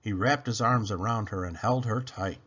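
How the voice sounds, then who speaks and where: A man narrating a children's book aloud in an expressive, dramatic, emphatic style. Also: fairly low pitch, moderate pace, husky, lo-fi sound. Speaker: storybook reader.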